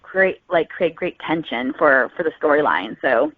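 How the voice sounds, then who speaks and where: A woman's voice: sits low, expressive, measured pace, no room sound. A woman novelist chatting casually as a podcast guest over a call. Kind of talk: casual interview chat. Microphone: phone or online call. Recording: tinny phone-like line, dry.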